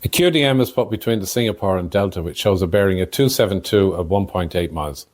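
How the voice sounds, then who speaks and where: A man giving a measured, technical explanatory narration of air traffic control as a podcast narrator. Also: sits low, a little mellow.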